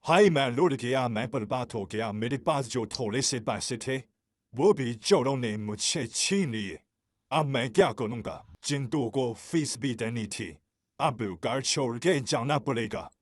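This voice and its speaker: A man playing a poor, anxious shopkeeper father in an animated moral story, earnest and pleading. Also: medium pitch, unhurried, no room sound, studio quality. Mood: despairing.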